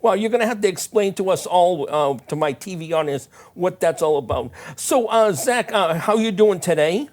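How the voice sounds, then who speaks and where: A man hosting a talk show, introducing his guest in a halting, folksy, casual way. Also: high-pitched, animated.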